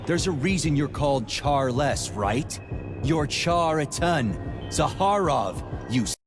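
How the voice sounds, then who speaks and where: An actor playing a blunt, sarcastic, hot-headed soldier in a video game, with music under the dialogue. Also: male voice, a little high, lively intonation, takes it slowly. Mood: angry, accusing. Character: hot-headed, confused male soldier, a gruff Major. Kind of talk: dramatic video game voice acting.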